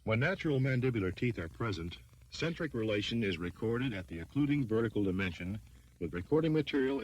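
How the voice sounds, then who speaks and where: A man reading scripted training film narration in a formal, measured, instructional manner. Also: fairly low pitch, rough recording.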